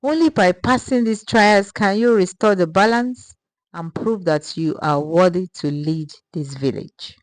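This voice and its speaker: A woman plays a wise village elder in a storybook folktale. She speaks very deliberately, gentle yet firm and grave, with a dry, close sound. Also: on the low side, no room sound, natural voice, low-quality recording. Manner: soft but strong, measured.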